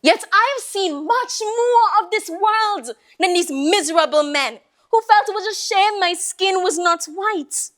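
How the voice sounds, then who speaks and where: Stage monologue casting: actress to play a weary, proud old Jamaican Creole woman, strikingly high voice, drawn-out lamenting delivery. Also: expressive, fairly bright tone, full character voice. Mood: indignant. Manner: slow, lamenting, rhetorical, with sighing exclamations. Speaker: monologue performer. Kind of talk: theatrical dramatic monologue.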